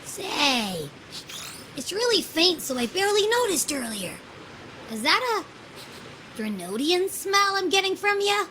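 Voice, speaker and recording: An actress voicing a small, cheeky, food-loving creature companion in a video game, with slangy, playful, cartoonish speech. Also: female voice, very high voice, big pitch swings, unhurried, pauses often, pushed and bright, a little hiss or hum, exaggerated voice. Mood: curious, cheeky. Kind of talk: video game character dialogue.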